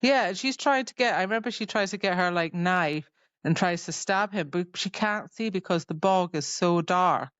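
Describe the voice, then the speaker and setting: A woman co-hosting a podcast, casually retelling book scenes in loose, halting phrases full of fillers. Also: fairly low pitch, a bit bright, no room sound, highs cut off. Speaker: podcast co-host.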